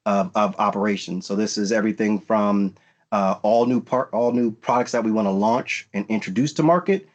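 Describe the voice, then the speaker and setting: Low-voiced man giving career advice. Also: dull audio. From United States. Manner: frequent fillers and restarts, earnest advice-giving. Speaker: panelist at a recruiting event. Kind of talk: virtual panel talk.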